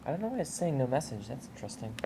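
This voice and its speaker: Woman, very low pitch, casual hands-on commentary, matter-of-fact, noisy basement recording. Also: sing-song, racing. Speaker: hobbyist video creator.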